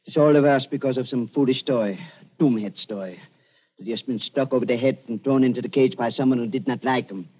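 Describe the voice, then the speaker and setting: An actor playing an elderly German doctor in a radio drama, fawning and falsely soft-hearted, with halting, repetitive speech and a German accent. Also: male voice, medium pitch, slightly rough, natural voice. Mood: regretful. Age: older. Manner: fawning, halting, repeats words. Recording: dull lo-fi radio recording.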